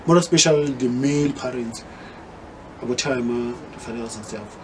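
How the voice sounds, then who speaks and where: A man's voice: medium pitch, moderate pace, a little hiss or hum, poor audio. A South African man hosting a casual podcast interview, chiming in often and mixing English with Zulu. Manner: relaxed, chimes in a lot, mixes English with Zulu. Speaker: podcast host.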